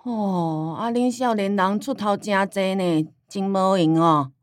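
A woman's voice: mid-range voice, slow pace, dry recording, clean studio sound, close to an everyday voice. An actress playing a forgetful, artless old grandmother with a Taiwanese accent, hesitant and folksy, in a radio drama. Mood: curious, bashful. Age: older.

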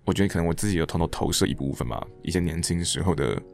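A man's voice: sits low, expressive, very fast, vocal fry. A man with a Taiwanese Mandarin accent, talking earnestly and reflectively as a director and actor being interviewed. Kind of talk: reflective casual interview chat.

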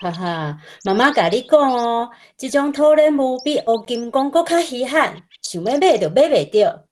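A woman acting a gentle, cheerful mother in a radio drama, speaking expressively with a Taiwanese accent. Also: on the high side, moderate pace, a little hiss or hum. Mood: warm, coaxing. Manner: animated, expressive. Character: gentle, cheerful mother talking to her child.

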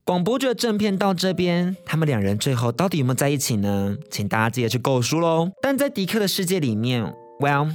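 A man's voice: a little high, sing-song, fairly quick, hardly any pauses, faint music behind. A man with a Taiwanese accent, hosting a podcast in casual commentary with English words mixed in. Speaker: podcast host.

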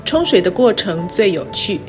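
A middle-aged woman with a Taiwanese Mandarin accent gives a scripted, reflective talk in measured, careful phrases. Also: medium pitch, very animated. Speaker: university president. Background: background music.

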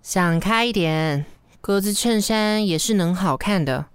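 A woman's voice: medium pitch, a little slow, close to an everyday voice. Actress for sardonic, long-suffering woman secretary, radio drama, mid-range voice, dry and commanding delivery. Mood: exasperated, sardonic. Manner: dry, bossy, world-weary. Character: brisk, exasperated, sardonic female office secretary.

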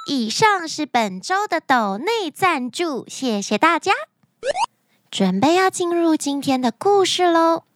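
A woman hosting a children's story show, speaking to young listeners in an animated, expressive storytelling manner. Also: high-pitched, no echo. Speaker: children's story podcast host.

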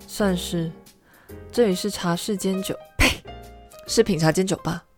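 A cool, aloof female bar owner, played by a woman in an audio drama. She speaks in short, terse lines, flat and unbothered, in a mid-range voice. Background music sits under her words. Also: unhurried. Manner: curt, terse, low-key. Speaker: bartender. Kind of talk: amateur audio drama acting.

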